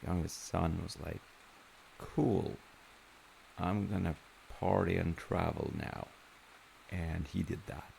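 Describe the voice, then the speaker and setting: A loving dad, played by a man tells a story in a very low, creaky voice. He rambles through wry, conversational asides in a playful audio roleplay manner. A faint hiss sits behind him. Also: flat delivery, slow pace, long pauses, a little mellow, exaggerated voice, rough recording. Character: loving, playful dad telling his child a parable. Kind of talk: audio roleplay, informal bedtime-style storytelling.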